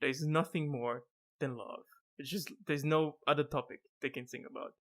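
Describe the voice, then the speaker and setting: Give me a monotone, laughing host. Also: male voice, fairly high pitch, normal speed. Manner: monotone, laughs often, many restarts. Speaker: podcast host. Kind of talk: casual video-call chat.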